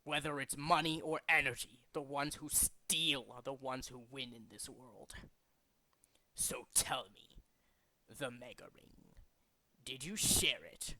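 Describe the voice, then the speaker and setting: A man voicing a grandiose, zealous villain leader in a video game, speaking in a deliberate, pompous, theatrical way. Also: mid-range voice, steady tone, sharp tone, no room sound, poor audio. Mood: grandiose, grim. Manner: deliberate, dramatic, pompous declaiming. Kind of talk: theatrical video game character demo reel.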